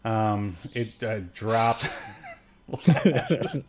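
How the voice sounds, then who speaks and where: A man co-hosting a podcast, talking casually and haltingly about entertainment news, often chuckling mid-sentence. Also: lowish voice, a crowd in the background. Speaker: podcast co-host. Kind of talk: casual chat, movie news commentary.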